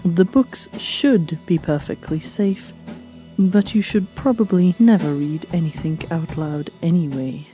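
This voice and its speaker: A woman's voice: on the low side, measured pace, mellow, close to an everyday voice, poor audio. A woman playing a wry, exasperated presenter of a fictional story show, speaking scripted lines in a dry manner over background music. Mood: dry reporting. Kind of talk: scripted in-character hosting. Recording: music behind the voice.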